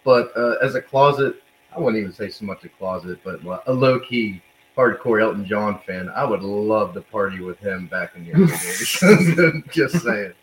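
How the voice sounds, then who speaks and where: A man's voice: lowish voice, expressive, normal speed, a little hiss or hum, poor audio. A man from a Pennsylvania band with a Pennsylvania accent, telling laid-back, self-deprecating stories in an interview. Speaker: band member. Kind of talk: casual storytelling in interview.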